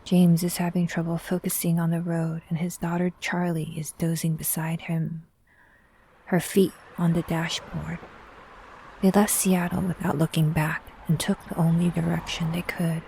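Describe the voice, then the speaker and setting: Casting: female narrator for suspense audio drama, lowish pitch, very flat intonation, measured delivery, faint music behind. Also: vocal fry, slight background noise, rough recording.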